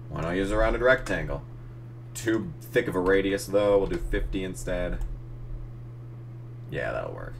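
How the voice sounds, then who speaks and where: A young man losing his voice, casually narrating a photo edit, muttering and swearing at the software. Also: low voice, a little hiss or hum. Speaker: gaming channel host. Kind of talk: casual tutorial commentary while editing.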